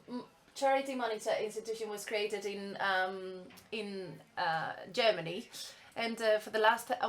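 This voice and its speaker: A woman giving a live simultaneous interpretation of a conference welcome speech, halting often with fillers and restarts. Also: a little high, a bit bright.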